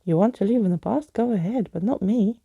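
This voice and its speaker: A woman's voice: medium pitch, a little fast, vocal fry, dark tone, breathy edge, dry recording, lo-fi sound. A woman voicing a sulky, defiant imaginary girl in a children's story, blunt and biting. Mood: bitter.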